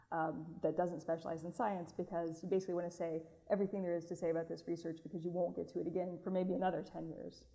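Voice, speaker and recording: Mellow-voiced woman editor, casual. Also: on the low side, low-quality recording. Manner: casual, thinking aloud with fillers. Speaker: magazine science editor, panelist. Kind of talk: panel discussion.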